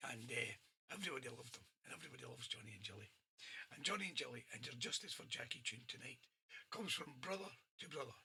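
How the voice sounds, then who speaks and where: A hoarse man hosting an online soul radio show, chatting casually and laughing between records. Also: mid-range voice, lively intonation, quick pace, bright, forceful tone. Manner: chatty, laughs often, friendly asides to listeners. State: hoarse, losing his voice. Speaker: radio DJ and host.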